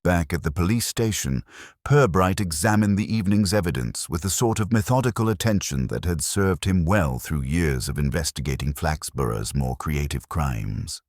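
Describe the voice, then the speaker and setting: A man narrating a scripted mystery story in a measured, formal, literary manner. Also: deep voice, expressive, darkish tone, no room sound, studio quality.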